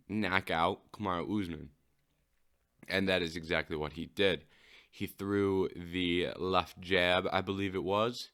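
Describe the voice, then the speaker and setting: Deep, smooth-voiced man hosting a podcast. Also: a bit bright. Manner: casual, unscripted recounting of a fight; keen fan, lingering on a knockout moment by moment. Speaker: podcast host. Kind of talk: solo sports recap podcast.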